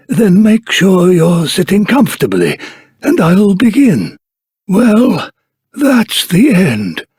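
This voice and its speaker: Doddering old fantasy-game grandfather, heavily rasping voice, hugely expressive swoops, formal solemn storyteller, dramatic pauses. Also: on the high side, no echo, studio quality, full character voice. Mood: animated, eager. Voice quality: husky, raspy.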